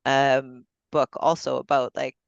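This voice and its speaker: A woman's voice: very deep voice, level tone, unhurried, creaky, dry recording. A woman hosting a virtual book club over a video call, chatty and full of fillers and restarts. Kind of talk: casual group discussion. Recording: dull lo-fi call audio.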